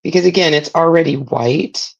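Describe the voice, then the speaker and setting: A middle-aged woman, raspy from a spring cold, chatting casually as she hosts a live craft demo and greets viewers by name. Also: low voice, moderate pace, muffled recording. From Southern California. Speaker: craft livestream host. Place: home craft room.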